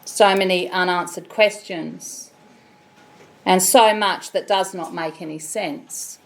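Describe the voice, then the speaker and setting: An Australian woman with a loud voice, leading a funeral service as celebrant in a measured, solemn way. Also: lowish voice, steady tone, gravelly, low-quality recording. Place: funeral chapel.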